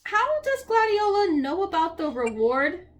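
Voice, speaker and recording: A sarcastic teenage boy hero from a storybook, read by a woman in her own high voice. He sounds wry and incredulous at everything around him. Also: big pitch swings, a little slow, exaggerated voice.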